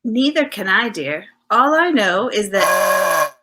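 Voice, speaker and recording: A woman's voice: mid-range voice, very animated, slow, very noisy. A woman playing an affectionate, playful detective's wife in 1940s radio drama style, with coy banter. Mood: affectionate, teasing.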